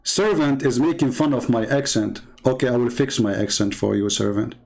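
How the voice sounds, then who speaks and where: Middle-aged man, Arabic accent, sarcastic online debater, mid-pitched and scornful. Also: normal speed, creaky. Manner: mocking, scornful, sarcastic laughs. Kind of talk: combative commentary while reading comments.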